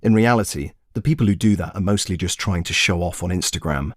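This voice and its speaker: A man's voice: low-pitched, fairly quick, dry recording, studio quality. A man voicing a smug, pedantic, self-admiring traveller in a scripted comic audio monologue, pompous and precise. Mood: smug lecturing.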